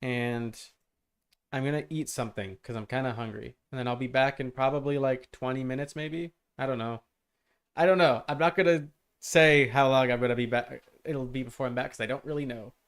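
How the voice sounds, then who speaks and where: A man chatting casually and rambling as he streams a video game, with an animated, amused manner. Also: mid-range voice, fairly quick, clean tone. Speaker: live streamer. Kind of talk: casual gaming stream chat. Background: video game music.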